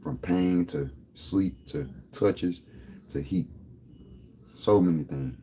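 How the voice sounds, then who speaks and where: A man's voice: low voice, takes it slowly, dark tone, a little hiss or hum. A man in his thirties talking casually to camera, boastful and rambling, full of street slang. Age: 30s. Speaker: vlogger.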